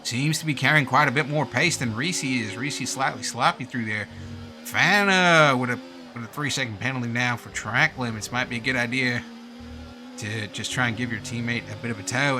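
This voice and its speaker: A man's voice: mid-range voice, brisk, pushed and bright, faint music behind. A man doing live sim-racing commentary in a put-on American accent, informal and slangy, with casual asides. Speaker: race commentator and streamer.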